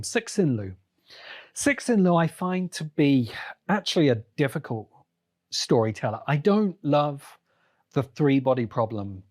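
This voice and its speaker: A man hosting a podcast solo, giving conversational commentary in an emphatic, deliberate way, repeating phrases for effect. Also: mid-range voice. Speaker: podcast host and critic.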